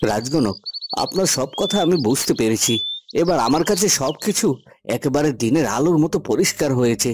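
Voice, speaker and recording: A man voicing a resolute, patient young prince in a fairy-tale cartoon, speaking in an earnest, measured, declamatory way. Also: fairly high pitch, animated, darkish tone, a little hiss or hum, close to an everyday voice. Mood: stern determination.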